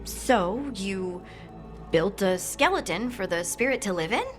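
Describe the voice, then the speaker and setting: Woman playing a lively, inquisitive young companion. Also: mid-range voice, a little slow, faint music behind, lightly acted. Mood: questioning. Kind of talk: video game character acting.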